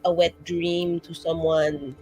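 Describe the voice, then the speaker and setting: A woman's voice: lowish voice, level tone, takes it slowly, mellow, soft background music, rough recording. A woman playing a tabletop game, speaking in character and rambling with lots of fillers and restarts. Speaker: player.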